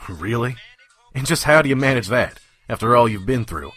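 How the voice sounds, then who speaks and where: A man voicing a world-weary, gruff pony trader in a wasteland audio drama, with a folksy, jaded drawl. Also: mid-range voice, lively intonation, normal speed, fairly bright tone, close to an everyday voice. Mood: skeptical, jaded. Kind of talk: scripted first-person narration and audio drama. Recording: roomy, echoing, distant mic.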